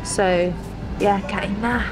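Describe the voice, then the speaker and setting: A woman's voice: mid-range voice, steady tone, slow, music in the background, rough recording. A young woman with a British accent talks to camera with chatty enthusiasm, full of "like" and eager asides. She sits close to a handheld camera in a busy indoor mall restaurant. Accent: British English. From Britain. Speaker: food vlogger. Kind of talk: casual vlog chat to camera.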